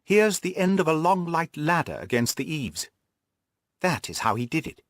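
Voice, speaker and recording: A man acts out a shrewd male detective from an audiobook story. He is brisk and commanding, reasoning things out emphatically with very lively swings of pitch in a close, dry recording. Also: no room sound. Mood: excited, urgent. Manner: emphatic, deductive explaining and giving orders. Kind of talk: audiobook character dialogue.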